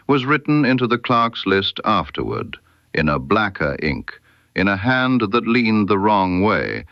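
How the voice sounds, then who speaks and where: A man voicing a stern, incisive detective in an audiobook mystery, precise and emphatic, with dramatic pauses. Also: on the low side, dry recording, highs cut off. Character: stern, incisive male detective laying out his case.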